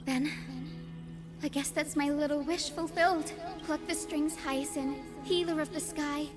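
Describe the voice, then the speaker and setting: A woman playing a gentle young fantasy heroine in a game, with lyrical, earnest, dramatic delivery. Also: high-pitched, fairly even intonation, a little slow. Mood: solemn, hopeful. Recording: game audio under clear music.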